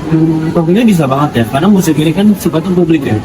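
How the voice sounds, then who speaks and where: A man's voice: a little high, fast talker, a crowd in the background. A man from Yogyakarta gives explanatory, thoughtful answers in an informal interview as a visitor. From Yogyakarta, Indonesia. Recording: clearly noisy public-place recording.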